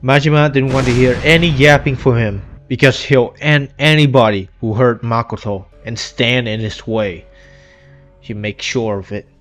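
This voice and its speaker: A man delivers a scripted story recap as a video narrator. His voice sits at an average pitch. Light music runs quietly behind him. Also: fairly even intonation, moderate pace. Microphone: amateur inexpensive microphone voice-over. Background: faint music.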